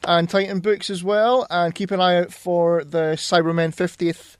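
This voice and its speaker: A man's voice: high voice, little pitch movement, moderate pace, no room sound. A man speaking casually as a podcast co-host. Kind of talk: casual podcast sign-off and chat.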